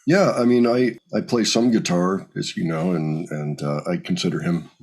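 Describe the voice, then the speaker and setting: A male host with a fairly low voice and a noticeably rough, husky grain. He talks in an informal, conversational way, as in casual chat about music. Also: normal speed, a little mellow. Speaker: host of a music reaction show.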